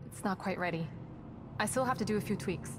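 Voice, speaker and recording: An actress playing a composed, earnest scientist ally in a video game, speaking in a measured, sincere and practical way. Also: female voice, medium pitch, background noise, close to an everyday voice. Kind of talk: cinematic video game acting. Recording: music under the dialogue. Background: music.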